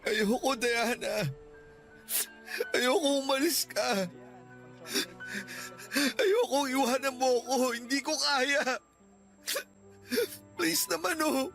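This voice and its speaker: An actor playing a devoted young boyfriend, gentle and reassuring, breaking into tearful begging in a radio drama. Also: male voice, extremely high pitch, expressive, takes it slowly, vocal fry, pauses often, faint music behind, heavily acted. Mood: pleading.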